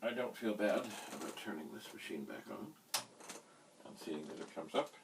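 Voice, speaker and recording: A middle-aged man giving an unscripted hands-on demonstration, speaking in deliberate, broken phrases with quaint, slightly theatrical wording, recorded on a distant mic. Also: lowish voice, lively intonation, creaky. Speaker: vintage computer hobbyist presenting a how-to vlog. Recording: distant mic, faint background hiss.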